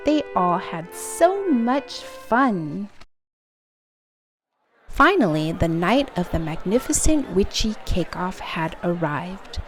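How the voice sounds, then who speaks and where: A woman's voice: medium pitch, darkish tone. A woman reading a children's picture book aloud expressively, drawing out key words for dramatic emphasis. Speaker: storytime reader.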